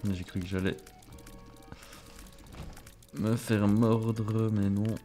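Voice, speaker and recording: A man with a fairly low voice chats his way through a video game run, joking at his own expense and muttering curses when things go wrong. Faint game music plays behind him. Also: little pitch movement, extremely slow, warm tone. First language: French. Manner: chatty, self-mocking, swears under breath. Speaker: gaming streamer. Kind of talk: casual let's play commentary.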